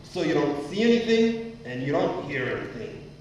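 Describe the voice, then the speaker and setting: A man playing a gruff, bullying theater boss in an amateur drama. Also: on the high side, sing-song, normal speed, a little mellow. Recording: very echoey room, distant, faint noise.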